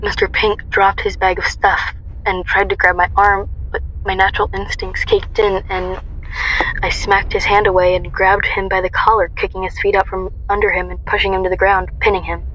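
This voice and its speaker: A woman's voice: medium pitch, little pitch movement, normal speed, fairly bright tone, a little hiss or hum, natural voice, rough recording. A woman playing a young heroine who recounts her ordeal in intense first-person dramatic narration. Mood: tense intense.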